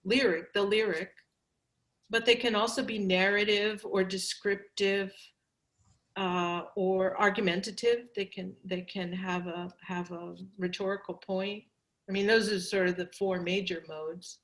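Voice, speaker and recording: A woman's voice: mid-range voice, level tone, measured pace, fairly bright tone. Thoughtful woman poet, German native. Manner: thoughtful, searching for words. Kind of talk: answering audience questions at a poetry reading.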